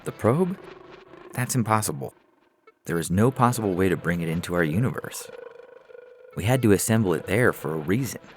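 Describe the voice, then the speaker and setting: A man's voice: low-pitched, animated, moderate pace, pauses often, a little hiss or hum. A man voicing a weary, empathetic alien data technician who explains defensively in a science fiction story, over music. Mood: wistful. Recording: music under the voice.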